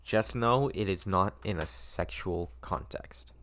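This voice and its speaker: A man's voice: low-pitched, slow pace, a bit bright. A man narrating a script at a table read, adding casual asides as he goes.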